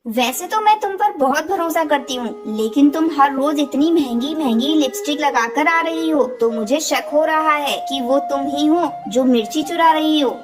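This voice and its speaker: Woman as a high-voiced motherly pigeon boss. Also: moderate pace, hardly any pauses, faint music behind, close to an everyday voice. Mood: suspicious. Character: kindly female pigeon, farm owner and boss. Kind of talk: children's cartoon story dialogue.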